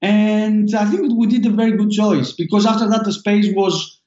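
High-voiced Greek-accented man, echoey. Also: steady tone, dark tone. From Greece. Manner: halting, with many fillers and restarts. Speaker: startup founder guest. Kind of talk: casual interview answers. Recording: echoey, dull lo-fi sound.

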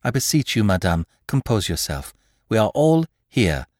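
A man's voice: fairly low pitch, very animated, normal speed, no echo, studio quality. A man voicing an earnest young detective in an audiobook, speaking in a soothing, earnest way.

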